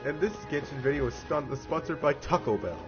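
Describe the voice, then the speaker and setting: Animated higher-voiced man livestreaming a game. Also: a little fast. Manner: joking, rambling. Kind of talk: casual live game commentary. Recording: dull lo-fi audio, loud music. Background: game music.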